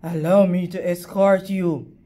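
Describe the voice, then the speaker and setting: A woman's voice: fairly low pitch, fairly even intonation, a little slow, mellow, pitched below the performer's own voice. A woman voicing a large male storybook wolf, sly and sweet-talking with polite, charming manners. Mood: sly, courteous.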